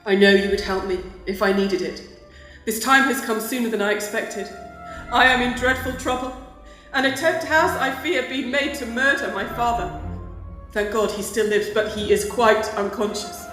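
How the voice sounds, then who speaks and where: An actress playing an anxious, refined young Victorian gentlewoman in a gothic audio drama, with dramatic delivery. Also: on the high side, normal speed, soft background music, natural voice. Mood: desperate. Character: distraught, well-bred young Victorian gentlewoman, devoted daughter.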